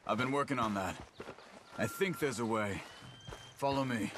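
A man playing a calm, principled outlaw scout, measured and earnest, in a western game. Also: medium pitch, noisy background, lightly acted. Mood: focused. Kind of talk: cinematic western game dialogue. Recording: dramatic music bed underneath.

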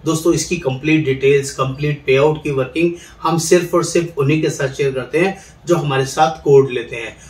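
A middle-aged man hosting a promotional explainer, seated in a studio with a lapel mic and pitching viewers in a persuasive, salesy way. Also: fairly quick, darkish tone. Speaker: insurance agent recruiter, channel host. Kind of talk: direct-to-camera promotional explainer. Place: indoor studio, seated in office chair. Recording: roomy echo, somewhat distant mic.

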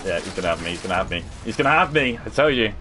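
Young man, casual stream chatter, fairly low voice, faint game noise behind. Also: expressive, rapid-fire. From United Kingdom. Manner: chatty, laid-back, reads and answers chat. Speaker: gaming livestreamer. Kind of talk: casual live commentary over gameplay.

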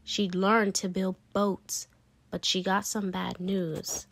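A woman hosting a children's read-aloud, narrating rhyming storybook lines in an expressive, deliberate and emphatic way for young listeners. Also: mid-range voice, gravelly, dry recording.

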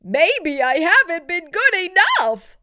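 A woman's voice: extremely high pitch, very animated, slow pace, sharp tone, voice pushed up, heavily acted. A woman voicing an eager, self-satisfied young male cat in a children's storybook, earnest and boastful. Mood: worried.

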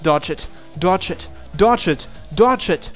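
A man's voice: high voice, expressive, slow pace, soft background music. A man reading a visual novel aloud as dramatic first-person narration, with an earnest, intense and theatrical delivery. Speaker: let's-play reader of a visual novel.

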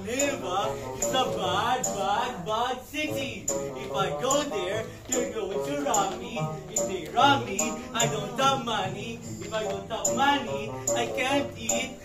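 A man's voice: sits high, takes it slowly, few pauses. A young man on an amateur school stage playing a scared, whiny runaway who pleads dramatically. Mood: panicked, whining. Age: teenager or young adult. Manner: dramatic, exclaiming. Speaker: student actor in a school play. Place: indoor school stage, far camera. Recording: echoey hall, distant camera, music behind.

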